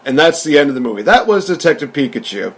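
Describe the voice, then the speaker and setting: A man's voice: on the high side, very animated, fast. A man hosting a casual review discussion, recapping and commenting in an informal, conversational way. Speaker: podcast host reviewing films.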